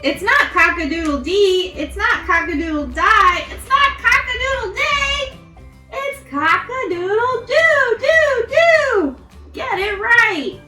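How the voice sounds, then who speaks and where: A woman voicing an exasperated woman farmer in a storybook, correcting with drawn-out emphasis. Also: very high voice, animated, sharp tone, reverberant, far from the mic, soft background music, voice pushed up, exaggerated voice. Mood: loud exasperation.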